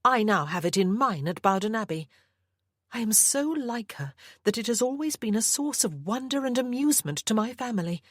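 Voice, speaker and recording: A woman's voice: a little high, lively intonation, normal speed, a bit bright, dry recording, clean studio sound, pitched above the performer's own voice. A woman reading the part of a young mother standing up to her captor, firm and earnest. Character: young mother, defiant and composed.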